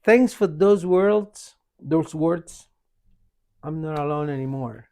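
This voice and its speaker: A man's voice: a little high, slow, darkish tone. A man from Argentina with an Argentine Spanish accent, talking as a podcast guest in a casual, emphatic, repetitive storytelling way. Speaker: podcast guest, author and shaman.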